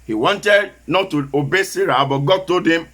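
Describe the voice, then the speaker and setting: A man preaching a sermon with a Nigerian Yoruba accent, whose first language is Yoruba, slowly repeating key phrases for emphasis and switching into Yoruba. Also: on the high side, fairly bright tone.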